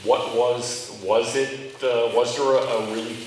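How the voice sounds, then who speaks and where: A man interviewing a guest in front of an audience, asking halting questions full of fillers. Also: mid-range voice, little pitch movement, a little mellow. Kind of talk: live onstage interview before an audience. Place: large room with an audience. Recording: echoey hall from far off, clear noise.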